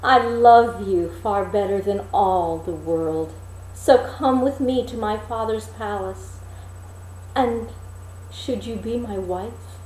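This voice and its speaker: A woman's voice: mid-range voice, darkish tone, lightly acted. A woman voicing a devoted young prince in a storybook, earnest and courtly. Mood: tender, earnest. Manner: earnest, courtly, measured speech. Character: tender, devoted young fairy-tale prince. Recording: roomy echo, faint background noise.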